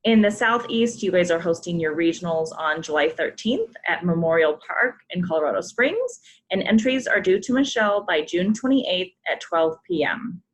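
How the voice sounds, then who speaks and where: A woman's voice: on the low side, very animated, normal speed. A woman from a sports program staff, leading a coach conference call and walking through forms with many filler ums. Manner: friendly, frequent ums, polite reminders. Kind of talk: instructional briefing with screen walkthrough.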